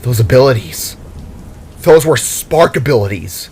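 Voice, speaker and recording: A man voicing a battered heroic father and warrior in an audio story, halting and strained. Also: medium pitch, animated, vocal fry, a little mellow, husky, heavily acted. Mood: shaken wonder.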